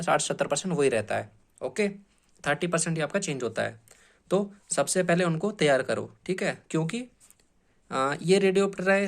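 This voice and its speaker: Male coaching teacher, slightly high voice, informal instructional talk, explanatory, frequent check-in tags, English terms mixed in. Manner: explanatory, repeatedly checks in with short tag phrases; mixes English terms into speech.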